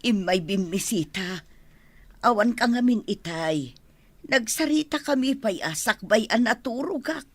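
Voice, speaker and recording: Gentle, expressive older Filipino mother, acted. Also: on the high side, unhurried, dry recording. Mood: apologetic. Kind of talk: Filipino radio drama acting.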